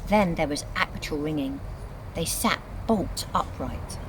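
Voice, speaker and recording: A woman reads scripted storybook narration in an expressive, dramatic storytelling manner. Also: sits low, a little slow, a little hiss or hum, rough recording. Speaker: reader of a children's book.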